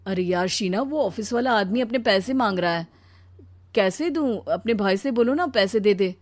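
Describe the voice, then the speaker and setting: A woman's voice: medium pitch, expressive, moderate pace, no echo, close to an everyday voice. A woman voicing a weary, put-upon young Indian husband in a cartoon story, plaintive and pleading. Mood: fed up. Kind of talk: Hindi cartoon story dialogue.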